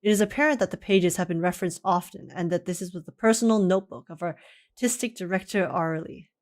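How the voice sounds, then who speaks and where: A woman's voice: fairly low pitch, fairly quick, darkish tone. A woman livestreaming a game in an informal, giggly manner, chatting casually and reading text aloud. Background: game music.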